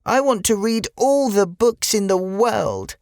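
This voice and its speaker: A woman's voice: mid-range voice, lively intonation, slow, a little mellow, dry recording, clean studio sound. A woman voicing a kind, cheerful little girl in a children's storybook, chirpy and earnest in manner. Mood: excited delight. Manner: chirpy, wide-eyed, earnest. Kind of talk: children's storybook character acting.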